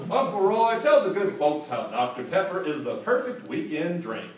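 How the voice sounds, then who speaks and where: A man voicing a folksy old-time male radio announcer pitching soda in a hearty, booming sales-pitch manner, caught from a distance in an echoey hall. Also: big pitch swings, moderate pace. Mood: jovial. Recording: echoey hall, distant mic, faint hiss.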